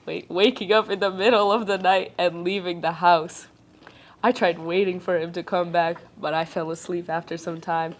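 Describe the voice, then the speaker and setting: Woman reading a story, joking as she goes. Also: fairly low pitch. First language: not English. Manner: reads haltingly, breaks off to joke and laugh. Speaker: podcast co-host reading a story aloud. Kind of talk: casual chat, reading a ghost story aloud.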